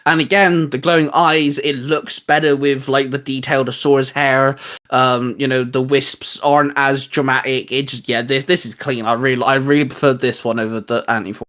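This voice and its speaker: A man's voice: medium pitch, level tone, normal speed, fairly bright tone. A man co-hosting a casual, geeky chat, talking in a rambling, enthusiastic and hesitant way. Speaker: host. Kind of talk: casual geeky chat rating video game forms.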